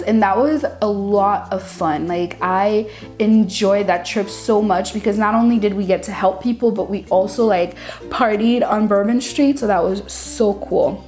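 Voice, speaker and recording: A woman's voice: mid-range voice, darkish tone. A young woman from Haiti whose first languages are French and Creole, chatting casually and informally to viewers as a channel host. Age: young adult. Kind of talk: casual chat to camera, answering viewer questions.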